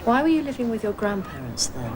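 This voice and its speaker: Quick, probing woman interviewer. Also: mid-range voice, sing-song. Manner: direct, probing. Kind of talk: off-camera interview questions. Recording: loud background noise.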